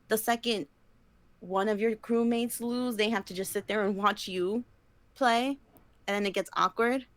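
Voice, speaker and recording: A woman's voice: mid-range voice, no echo. Casual woman podcaster with dry, close sound. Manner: conversational, frequent "like". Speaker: podcast co-host. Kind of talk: casual chat.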